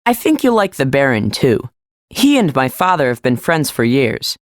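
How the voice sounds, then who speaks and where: A friendly travelling companion in a fantasy audiobook. The voice is quite low and highly animated, with a reassuring air. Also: female voice, moderate pace, no echo, studio quality. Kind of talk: full-cast audiobook character acting.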